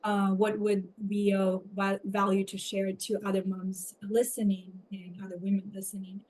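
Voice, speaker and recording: A woman from Ukraine with a Ukrainian accent, telling her personal story as an interview guest, reflective and halting as she picks her words. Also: medium pitch, flat delivery, darkish tone, rough recording. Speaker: podcast guest, somatic coach.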